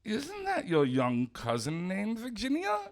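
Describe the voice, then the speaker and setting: A man's voice: sits high, wildly swooping pitch, unhurried, full character voice. An actor playing a stuffy, affronted male magazine publisher in a comedic radio play, prim and politely insulting. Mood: uneasy politeness.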